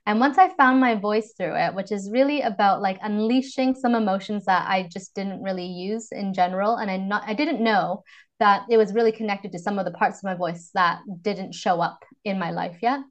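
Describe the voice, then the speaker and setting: A woman in her thirties with a Canadian accent talks through a podcast interview in an articulate, measured way. She is a voice coach, and she sits at a mid pitch for a woman and speaks with calm, reflective phrasing. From Vancouver, Canada. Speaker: voice and communications coach.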